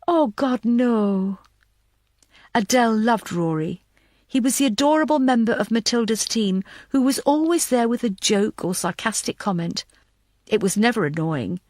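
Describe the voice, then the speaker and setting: A woman's voice: on the high side, animated, moderate pace, darkish tone, no room sound, clean studio sound. A woman reading scripted narration for a thriller audiobook.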